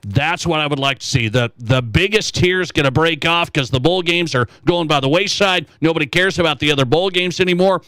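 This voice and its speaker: A man's voice: on the high side, brisk, few pauses, bright, forceful tone, smooth, no room sound, low-quality recording. A man hosting a sports talk radio show, giving emphatic, opinionated commentary in a conversational way and repeating his points. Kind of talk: conversational sports commentary, reading listener texts.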